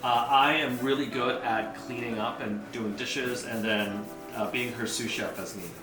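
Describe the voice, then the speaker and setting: A man's voice: medium pitch, fairly even intonation, measured pace. A middle-aged American man chatting casually as the kitchen helper on a cooking video. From United States. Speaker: sous chef, helper in the kitchen. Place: indoors, roomy kitchen. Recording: echoey room with music behind. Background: music.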